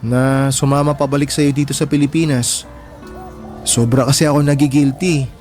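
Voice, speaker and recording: A man's voice: mid-range voice, little pitch movement, moderate pace, darkish tone, soft background music, natural voice. A young man playing a sensitive, affectionate younger brother in an emotional radio drama, speaking in a boyish, earnest way. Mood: worried, guilty. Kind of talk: radio drama acting in Tagalog.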